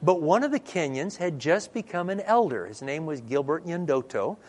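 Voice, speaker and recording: A middle-aged man, a pastor, telling stories in a sermon and acting out the dialogue in a lively way. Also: on the high side, moderate pace. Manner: lively storyteller who acts out dialogue. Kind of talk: storytelling sermon. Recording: long reverb in a large hall.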